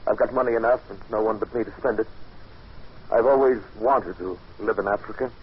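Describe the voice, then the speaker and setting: A man's voice: lowish voice, a little fast, lightly acted. An actor playing a wealthy, dreamy man gripped by a strange obsession, speaking hesitantly and intensely in old radio drama style. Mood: obsessed, evasive. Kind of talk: 1940s radio acting. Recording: dull noisy old radio recording.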